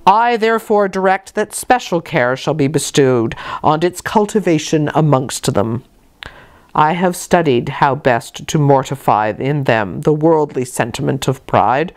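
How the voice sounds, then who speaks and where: A woman acts out a stern, pious clergyman of middle age from a classic storybook. The delivery is pompous and moralizing, with wide swings in pitch on a fairly low base. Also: very animated. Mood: sanctimonious. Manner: pompous, sanctimonious, slow deliberate moralizing. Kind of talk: dramatized audiobook reading.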